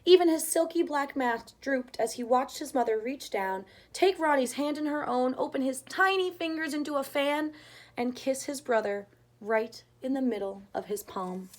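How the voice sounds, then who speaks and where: A woman reading a picture book aloud to children as an expressive storyteller. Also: sits high, moderate pace.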